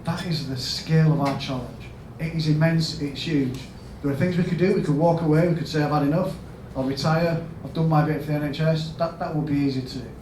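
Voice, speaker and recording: A man with a Yorkshire accent giving a storytelling conference talk as a health service chief executive, asking the audience questions and rising to loud emphatic bursts. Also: a little high, fairly even intonation, a little fast, hardly any pauses. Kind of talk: storytelling keynote speech. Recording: echoey hall, mic at a distance, background noise.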